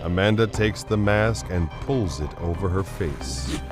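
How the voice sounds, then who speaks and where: An actor narrating a pulp superhero radio serial in a dramatic, scripted storytelling style. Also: male voice, low voice, unhurried, over loud music.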